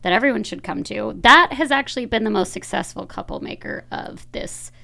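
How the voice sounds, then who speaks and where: A woman's voice: a little high, a little fast, vocal fry, bright, forceful tone. A woman in her thirties, a podcast host talking in a casual, chatty way full of fillers. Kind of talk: casual chat.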